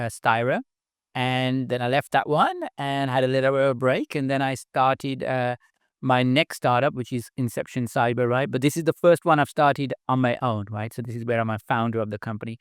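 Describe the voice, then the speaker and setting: A man's voice: mid-range voice, clear, resonant tone, dry recording, clean studio sound. A middle-aged man from England with a Birmingham accent, chatting casually as a podcast guest, tagging his sentences with "right?". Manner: chatty, frequent "right?" tags and light laughs. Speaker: startup founder, guest.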